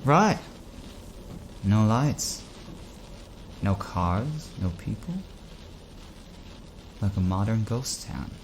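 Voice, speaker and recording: An actor playing a curious, troubled driver in an audio drama, conversational, wondering and wary. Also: male voice, lowish voice, animated, very slow, pauses often, slight background noise, full character voice, poor audio. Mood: puzzled. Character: uneasy, questioning man driver, curious and troubled.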